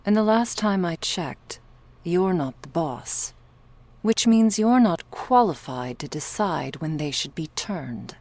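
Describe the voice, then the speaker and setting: A woman's voice: fairly low pitch, lively intonation, takes it slowly, darkish tone, no room sound, exaggerated voice. A woman playing a stern, protective female vampire bodyguard in an ASMR roleplay, cool and clipped, often close to a whisper. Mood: stern, dismissive.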